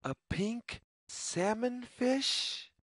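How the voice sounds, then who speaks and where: A woman reading a children's picture book aloud in a sing-song, deliberate, word-by-word way. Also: a little high, no echo, clean studio sound. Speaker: story reader.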